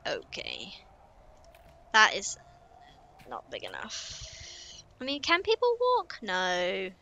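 Chatty woman, bright lively voice. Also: mid-range voice, takes it slowly, vocal fry, highs cut off. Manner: chatty, muttering to herself while building. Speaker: streamer. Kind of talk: casual gameplay commentary.

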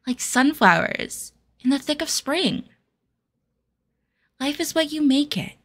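A woman voicing an optimistic, dreamy young adventurer in an earnest fantasy monologue. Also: high voice. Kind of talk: character demo for fantasy tabletop game.

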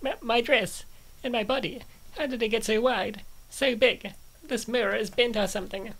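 A woman's voice: on the high side, moderate pace, gravelly, low-quality recording. A woman playing a flustered, indignant young noblewoman in a comic dub, stammering and protesting.

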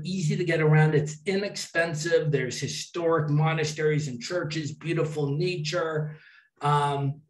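A man in his fifties from the United States, talking casually about travel on a video call from a small room at home. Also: fairly high pitch. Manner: relaxed, matter-of-fact explaining with lists. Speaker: guest, travel podcaster. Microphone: webcam on a video call. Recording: roomy, distant webcam audio.

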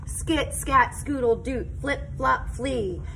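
A middle-aged woman teacher reading a picture book aloud to young children, expressive and sing-song, inviting them to join in. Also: high-pitched, takes it slowly, creaky, slight background noise. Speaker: elementary teacher. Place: school classroom, indoors.

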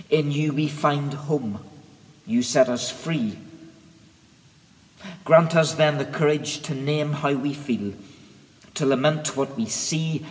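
A middle-aged male minister leads a solemn prayer in a large church. He speaks slowly and earnestly, at a medium pitch, setting each phrase apart. His words ring with long reverberation. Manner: measured, earnest, deliberate phrasing with pauses. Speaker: minister leading worship. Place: large stone church sanctuary.

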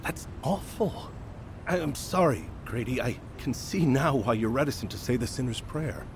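An actor playing a sympathetic, slightly hesitant listener in audio drama dialogue. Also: male voice, animated, moderate pace, close to an everyday voice. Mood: sympathetic, taken aback. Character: sympathetic, attentive male listener urging faith. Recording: noisy, rumbling vehicle ambience.

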